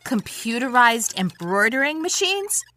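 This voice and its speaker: A woman acting as a brisk, scolding former section chief in a comic audio drama, dry and authoritative. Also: on the high side, very animated, sharp tone, slight background noise, exaggerated voice. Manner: dry, matter-of-fact, authoritative.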